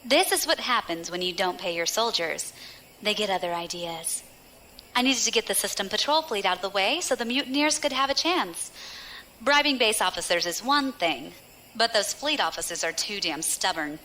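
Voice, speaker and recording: A woman's voice: medium pitch, lively intonation, moderate pace, pushed and bright, slight background noise, rough recording. An actress playing a cool, scheming female space pirate leader in an audio drama, wry, teasing and self-assured. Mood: smug, playful.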